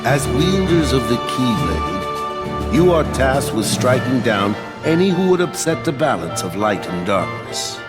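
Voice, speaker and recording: A man playing a stern but caring middle-aged master in a fantasy game cutscene, speaking in formal, solemn, measured phrases. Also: medium pitch, very animated. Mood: solemn, troubled. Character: stern, caring older master of young warriors. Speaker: mentor and master. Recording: music loud behind the voice.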